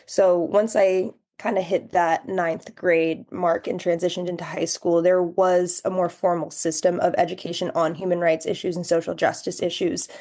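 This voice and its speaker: A young woman talks earnestly about her path as a guest on a podcast. Her voice is mellow and fairly low, and her reflective thoughts run on in long, flowing sentences. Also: level tone, moderate pace, few pauses. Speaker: podcast guest, human rights education fellow. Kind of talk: reflective interview answer.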